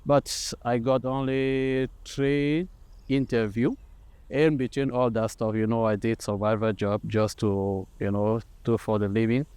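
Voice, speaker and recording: A man's voice: medium pitch, fairly even intonation, measured pace, a little mellow, smooth, no room sound. A man from Cameroon speaking conversationally in a documentary interview, often saying "you know".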